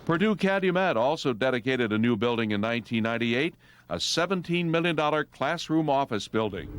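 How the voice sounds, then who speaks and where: A man's voice: mid-range voice, slight background noise. A man reading scripted newsreel narration as the narrator. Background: music.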